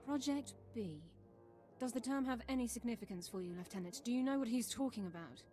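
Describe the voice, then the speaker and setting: A woman's voice: a little high, a little fast, mellow, close to an everyday voice. A woman playing an earnest, deferential military subordinate in a video game, formal and dutiful. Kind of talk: video game cutscene acting. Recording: game soundtrack music behind.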